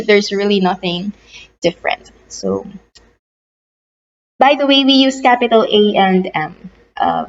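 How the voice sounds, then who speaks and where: A woman's voice: medium pitch, a little hiss or hum. A young adult woman with a Filipino accent, teaching a recorded lesson and explaining step by step, now and then repeating words while she thinks. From Philippines. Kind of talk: recorded online lecture. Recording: slightly dull lo-fi audio.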